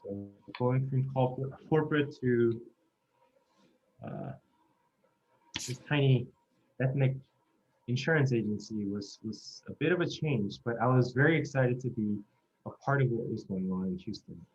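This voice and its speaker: A man's voice: medium pitch, sing-song, unhurried, long pauses, a little mellow. A man in his thirties from South Korea, telling his story earnestly and steadily in an oral history interview. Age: 30s. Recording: muffled lo-fi recording.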